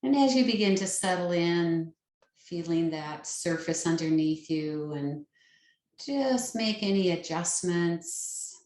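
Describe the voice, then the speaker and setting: A woman leading a guided meditation as a yoga nidra teacher, with a soothing, gentle and unhurried manner. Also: lowish voice, lively intonation. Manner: soothing, unhurried, gentle instruction with long pauses. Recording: roomy, echoing sound.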